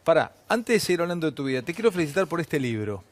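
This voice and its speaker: A man's voice: fairly high pitch, big pitch swings. Chatty Argentine male TV host. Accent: Argentine Spanish. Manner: quick, chatty, interrupts with questions. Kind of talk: TV interview.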